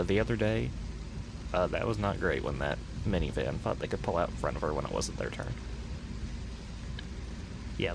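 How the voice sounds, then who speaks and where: A soft-spoken man playing an easygoing, teasing boyfriend in a casual, rambling, slangy roleplay monologue. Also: low-pitched, background noise. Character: easygoing, caring, teasing boyfriend driving at night. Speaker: ASMR roleplay performer.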